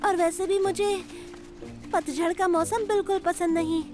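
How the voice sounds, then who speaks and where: An actress playing a small, timid and polite animal cub in a children's cartoon dub, with a childlike, hesitant way of talking. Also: female voice, extremely high pitch, steady tone, moderate pace, over music. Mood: reluctant, timid.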